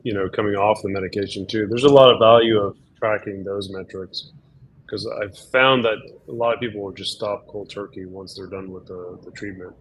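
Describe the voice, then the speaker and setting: Low-voiced, slightly hoarse male doctor. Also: fairly even intonation, brisk, fairly bright tone, slight background noise, poor audio. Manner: easygoing, explanatory, many fillers. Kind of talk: casual webinar chat.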